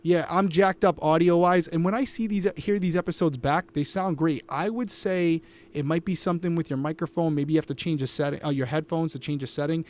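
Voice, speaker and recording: Fast-talking upbeat male co-host. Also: fairly high pitch, steady tone, darkish tone. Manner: upbeat, talks to the live chat. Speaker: podcast co-host. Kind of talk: casual live watch-along commentary. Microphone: podcast microphone.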